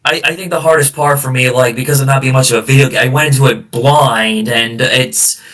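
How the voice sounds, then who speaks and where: Male co-host for casual film chat: bright mid-pitched voice, halting and agreeable, sounding distant from the microphone. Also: fairly even intonation. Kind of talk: casual movie discussion.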